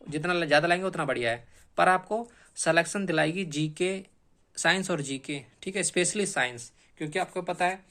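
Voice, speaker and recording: A man's voice: fairly high pitch, a bit bright. A man explaining exam strategy like a coaching teacher, repeating key points emphatically and often checking in with short tag questions.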